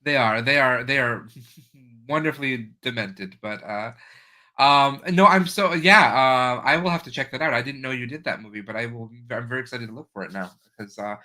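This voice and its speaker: A man hosting a casual video-call interview, enthusiastic and halting, with many fillers. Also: mid-range voice, sharp tone. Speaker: podcast host.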